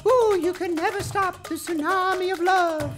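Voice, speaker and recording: A defiant princess puppet in a melodrama. The voice is extremely high, lovestruck and taunting, with loud music behind it. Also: female voice, moderate pace.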